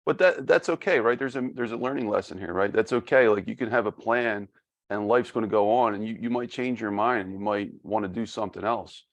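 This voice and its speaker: A male podcast host with a fairly low, gravelly voice. He encourages his guest, stumbles over restarts, and chuckles along as he talks. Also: fairly quick, lo-fi sound. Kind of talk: interview host.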